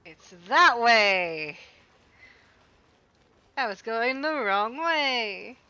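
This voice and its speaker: A woman's voice: on the high side, animated, drawn-out words, bright, forceful tone, smooth, dull audio. A woman streamer giving casual commentary while she plays, muttering to herself in a playful, rambling way.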